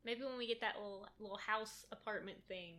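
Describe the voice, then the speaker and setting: Soft-spoken woman with a bright voice. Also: medium pitch, fairly quick. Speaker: podcast co-host. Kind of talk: casual chat. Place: kitchen table in an apartment.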